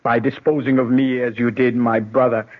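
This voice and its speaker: Male actor proud accusing exile, husky voice, stern formal diction, tinny old radio drama. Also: mid-range voice, little pitch movement, normal speed, close to an everyday voice. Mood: bitter, accusing. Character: proud accusing royal exile, grave and bitter. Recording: tinny, muffled vintage radio.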